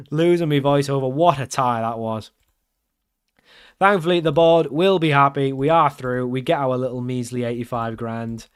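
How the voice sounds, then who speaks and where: A man commenting casually over his football management game, getting loud and excited at the big moments. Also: medium pitch, a little slow, pauses often, smooth. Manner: excitable, shouts at big chances and goals. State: losing his voice. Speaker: game streamer.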